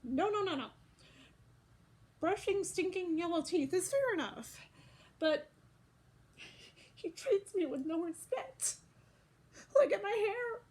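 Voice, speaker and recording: A very high, indignant toothbrush character from a storybook, acted out by a woman reading aloud. He is whiny and melodramatic, complaining as if deeply wronged. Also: lively intonation, slow, pauses often, darkish tone, full character voice. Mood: tearful, indignant. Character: whiny, indignant male toothbrush, aggrieved and dramatic. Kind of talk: children's storybook read-aloud.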